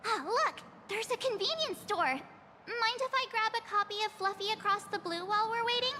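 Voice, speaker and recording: A woman voicing a cheerful, excitable young girl adventurer in an anime-style video game, perky and eager. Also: very high voice, normal speed, in a large hall, noisy background. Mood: bubbly, excited. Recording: music behind the voice.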